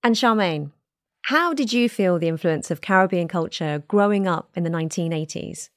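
Measured woman hosting a podcast. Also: fairly low pitch, lively intonation, no room sound, clean studio sound. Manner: measured, clear questioning. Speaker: podcast host. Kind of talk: scripted narration and interview questions.

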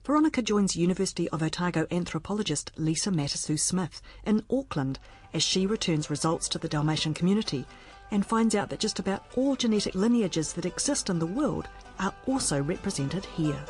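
A woman's voice: on the low side, animated, fairly quick, darkish tone. A woman with a New Zealand accent reading scripted narration as a radio host. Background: music.

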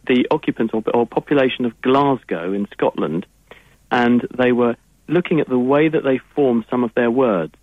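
A man's voice: medium pitch, no room sound. A man with an English accent, a science guest on a radio call-in, answering listeners' questions in long, fluent explanations. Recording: tinny phone-line sound.

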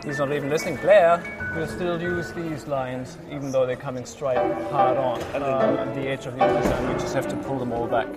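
A man, a sound engineer, explains his work informally and haltingly, thinking aloud as he goes. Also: mid-range voice, steady tone, loud music behind the voice. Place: large town hall concert venue. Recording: loud orchestra practice behind the voice. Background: orchestra musicians practising.

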